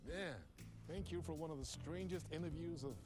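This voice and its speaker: An actor playing an exuberant, slick late-night TV host in a video game, bantering with showy, effusive chattiness. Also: male voice, fairly high pitch, moderate pace, music in the background, natural voice. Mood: playfully intrigued. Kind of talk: theatrical talk show interview banter.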